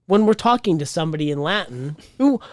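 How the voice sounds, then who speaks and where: A man's voice: a little high, expressive, fast, no echo, clean studio sound. A man co-hosting a podcast in casual chat, talking bluntly and with emphasis, swearing casually. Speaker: podcast co-host.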